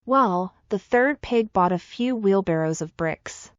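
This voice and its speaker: A woman's voice: mid-range voice, animated, a little slow, no room sound, dull audio. A woman reading a scripted children's fairy tale as the storyteller.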